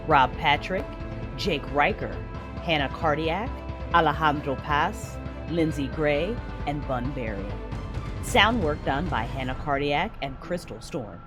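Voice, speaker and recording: Low-voiced, animated woman podcast host. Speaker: podcast host and producer making announcements. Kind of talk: casual podcast announcements and credits. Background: prominent music.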